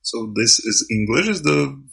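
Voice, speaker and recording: Low gravelly guy interviewing, kinda husky, lots of "like, um". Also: animated, measured pace, a bit bright. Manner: hesitant, filler-heavy questions.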